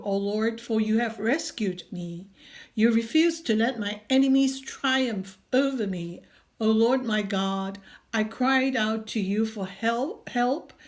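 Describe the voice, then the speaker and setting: A woman reading scripture aloud in a measured, earnest way. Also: a little high, darkish tone.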